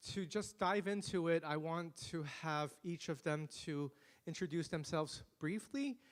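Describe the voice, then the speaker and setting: A man's voice: fairly high pitch, smooth. A man from Hong Kong moderating a conference panel in an upbeat, friendly way.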